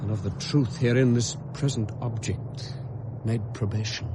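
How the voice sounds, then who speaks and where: An actor playing an educated, steadfast gentleman in a classical Shakespearean radio drama, speaking formal declamatory verse. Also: medium pitch, steady tone, moderate pace, heavy background noise, natural voice. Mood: grave, awed. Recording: 1970s radio drama with background sound effects.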